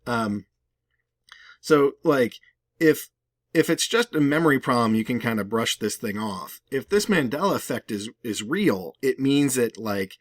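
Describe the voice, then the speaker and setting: A man's voice: mid-range voice, dry recording, studio quality. A man hosting a video with casual, rambling and emphatic conversational commentary. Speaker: video host.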